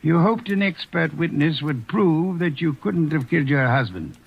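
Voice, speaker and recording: An actor in a 1940s radio drama playing a calm, superior male detective who lectures in measured, dryly amused tones. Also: on the high side, gravelly, slightly rough, exaggerated voice. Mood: explaining. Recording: dull old radio transcription.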